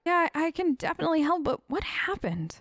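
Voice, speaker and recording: A woman's voice: very high-pitched, very animated, brisk, a bit bright, no room sound, clean studio sound. A woman hosting a role-play game let's play, talking casually in a sassy, rambling way. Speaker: gaming host, role-playing her character.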